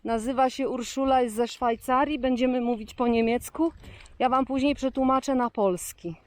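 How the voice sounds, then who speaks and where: A woman's voice: a little high, fairly even intonation, brisk. A Polish woman with a Polish accent chatting outdoors in simple, broken German, euphoric and laughing easily. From Poland. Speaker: vlogger interviewing a fellow pilgrim. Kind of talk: cheerful casual chat in simple German. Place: outdoors on a pilgrimage trail.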